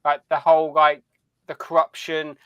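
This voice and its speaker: A man's voice: a little high, completely flat delivery, normal speed, bright, forceful tone, clean tone, no echo, muffled recording. A man co-hosting a podcast, chatting casually as a fan and often repeating phrases as he thinks. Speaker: podcast co-host. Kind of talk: casual fan chat about a TV series.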